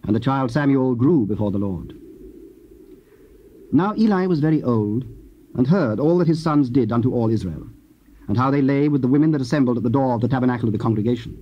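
A man narrating scripture from a script in a formal, measured manner. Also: medium pitch, lively intonation. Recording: dull, hissy old cassette recording.

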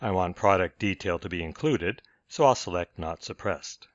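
Casting: male tutorial narrator, low-pitched, measured delivery, very dry and slightly muffled recording. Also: animated, no echo. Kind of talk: scripted software tutorial narration.

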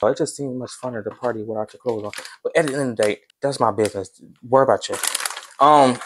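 A young man chatting casually to his vlog viewers while he works in his kitchen at home. He talks in a relaxed, chatty way and keeps calling the audience "y'all". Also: fairly high pitch, vocal fry, a little hiss or hum. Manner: laid-back, chatty, addresses viewers as y'all. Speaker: vlogger.

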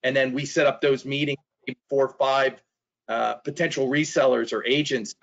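A middle-aged man giving a webinar presentation, hoarse and losing his voice, halting with fillers and restarts and clearing his throat. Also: mid-range voice, little pitch movement, a bit bright. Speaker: trade specialist presenter. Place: small office. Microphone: video call. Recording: dull lo-fi video call audio.

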